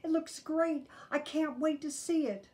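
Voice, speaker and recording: A woman's voice: sits high, unhurried, voice pushed up. A woman voicing an eager, insistent young boy bear from a picture book. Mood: excited. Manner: excitable, insistent.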